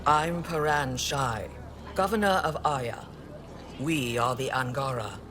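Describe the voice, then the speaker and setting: An actor playing a composed, guarded alien governor in a video game, speaking in a measured, formal and curt way. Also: male voice, medium pitch, natural voice. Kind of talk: video game dialogue acting. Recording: game dialogue over noisy ambience.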